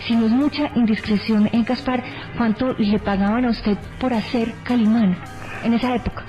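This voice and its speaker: A woman's voice: a little high, steady tone, normal speed, music in the background. A woman putting questions conversationally as a radio interviewer. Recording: slightly dull archive radio sound.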